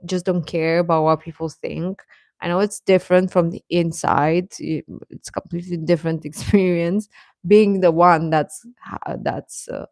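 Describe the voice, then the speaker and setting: A woman's voice: lowish voice, a little mellow, dry recording. A woman chatting casually on a livestream, giving earnest, encouraging advice and repeating phrases for emphasis in a reassuring way. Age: adult. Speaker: live streamer giving advice to teenage viewers.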